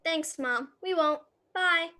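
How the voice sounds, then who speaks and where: A woman playing an excited, bossy little girl in a radio play, with an eager, gushing manner. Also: very high-pitched, takes it slowly, full character voice.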